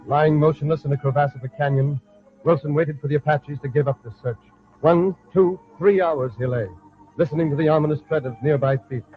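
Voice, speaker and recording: An actor serving as the solemn narrator of an old-time radio western, giving dramatic scripted storytelling. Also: male voice, fairly high pitch, fairly even intonation, fairly quick, over music, lightly acted. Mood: suspenseful. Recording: dull old radio recording.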